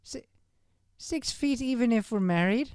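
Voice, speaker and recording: A man's voice: very high-pitched, expressive, a little slow, a little mellow, clear, resonant tone, no echo, clean studio sound. Man playing a stammering, shy Irish schoolboy. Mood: bashful, earnest. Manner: stammering, flustered, hesitant. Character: shy, smitten fourteen-year-old Irish schoolboy. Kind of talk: improvised old-time radio comedy play.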